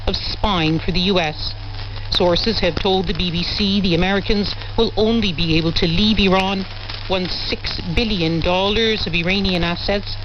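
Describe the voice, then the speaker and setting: A woman delivering a scripted report as an international news correspondent, heard over shortwave radio. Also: mid-range voice. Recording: dull, noisy shortwave radio relay.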